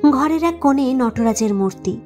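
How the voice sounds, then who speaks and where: High-voiced woman narrating a dramatic story. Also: lively intonation, normal speed, darkish tone. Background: background music.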